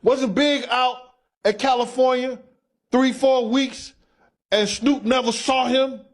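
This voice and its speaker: A man with a high voice makes his case in blunt street slang. He repeats phrases for emphasis and leans on pointed rhetorical questions. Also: fairly even intonation, slow pace.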